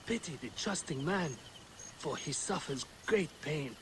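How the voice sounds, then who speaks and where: An actor playing a smooth-talking, evasive merchant in a video game, courteous, leisurely and philosophical. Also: male voice, on the high side, expressive, unhurried, slight background noise. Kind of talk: dramatic video game acting.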